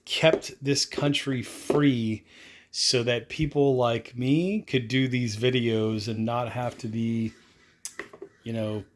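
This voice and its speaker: A man doing a casual product unboxing review, talking in a rambling, offhand way full of fillers. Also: medium pitch.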